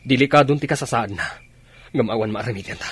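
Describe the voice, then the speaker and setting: A man's voice: mid-range voice, fast, fairly bright tone, a little hiss or hum, close to an everyday voice. An actor playing a grave, firm army officer concerned for his men in an Ilocano radio drama.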